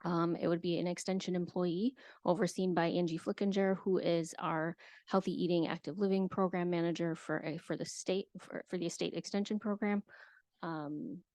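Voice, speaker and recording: Give me a woman extension educator, low-pitched and a bit gravelly, presenting at a committee meeting with a dry sound. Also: steady tone, darkish tone, dry recording. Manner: hesitant, many filler pauses.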